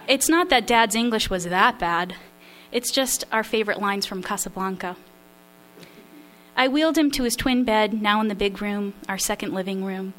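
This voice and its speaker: A woman reads from her own memoir at a literary event, her voice at a middle pitch. She is slightly nervous and self-deprecating, pausing with small fillers between passages. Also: a bit bright, low-quality recording. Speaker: memoir writer reading her work.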